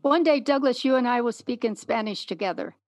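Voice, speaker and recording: Woman, podcast host, fairly high pitch, measured and clear, dry sound. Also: dry recording. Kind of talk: interview.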